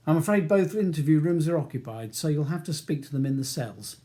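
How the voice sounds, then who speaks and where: A male narrator plays a prison officer on the cell door in an audiobook. He is deferential and businesslike, giving directions briskly. The voice sits a little high and stays smooth-edged rather than sharp. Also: lightly acted. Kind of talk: audiobook character dialogue.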